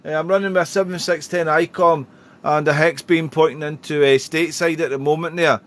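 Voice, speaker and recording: A man's voice: a little high, fairly even intonation, brisk, a bit bright. A man working as an amateur radio operator, chatting in a friendly way and calling out phonetic call signs. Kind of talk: radio contact calls and casual chat. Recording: clear background radio noise.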